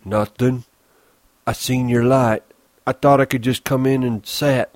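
A man's voice: a little slow, no echo, lightly acted. A man reading a story, voicing a big simple-minded grown man who talks eagerly and childishly. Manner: pleading, eager, rises high when excited.

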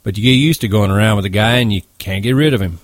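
A man voicing a gruff, protective ranch hand who talks in a blunt, folksy, emphatic way in a story. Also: on the low side, fast talker, dry recording, close to an everyday voice. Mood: grumbling.

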